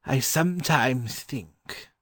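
A learned, mild-mannered gentleman from a storybook, acted by a man reading. He sounds courteous and puzzled, at a middling pitch in a dry recording. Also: unhurried, dry recording. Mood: bewildered.

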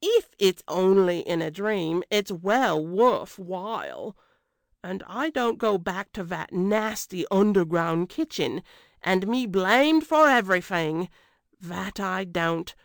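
Slow, swooping London cook. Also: female voice, medium pitch, expressive, gravelly, no room sound, clean studio sound. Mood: firm, defiant. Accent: working-class London. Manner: emphatic, drawn-out, colloquial. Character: stubborn, delighted working-class female household cook made queen.

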